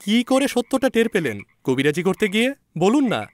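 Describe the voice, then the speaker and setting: A man acting an eager, teasing male listener in an audio story, loud and insistently questioning. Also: sits high, big pitch swings, moderate pace, voice pushed up. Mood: coaxing.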